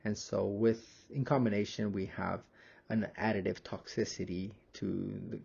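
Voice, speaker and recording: A young man narrates a slide lecture as a student presenter. His voice is low and creaky, with a relaxed, dark tone. He explains in a measured way. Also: highs cut off.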